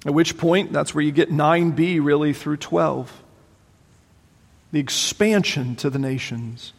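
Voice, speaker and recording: A man preaching a sermon as pastor, animated and exclaiming, voicing his listeners' doubts aloud, in a large church sanctuary. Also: a little high, measured pace, creaky. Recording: long hall reverb.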